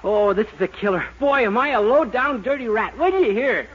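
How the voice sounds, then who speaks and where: A man's voice: extremely high pitch, expressive, a little fast. A man acting a naive, eager young shop employee full of schemes in a 1940s radio sitcom. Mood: excited. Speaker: comedy show lead. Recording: dull, noisy 1940s radio recording.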